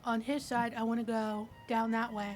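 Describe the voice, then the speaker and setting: Higher pitched woman player in a tabletop rpg, chatty and informal, faint music behind. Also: flat delivery, moderate pace. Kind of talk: casual game chat.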